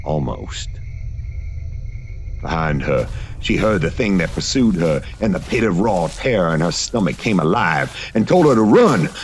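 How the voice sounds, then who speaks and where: A man reading scripted gothic horror fiction as a narrator, in a measured, literary storytelling manner. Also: deep voice.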